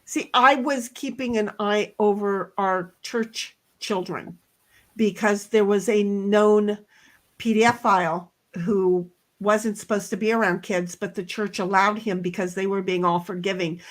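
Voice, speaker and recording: A middle-aged woman with a loud voice, telling a story bluntly in a casual chat, swearing now and then. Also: medium pitch, steady tone, measured pace. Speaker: co-host.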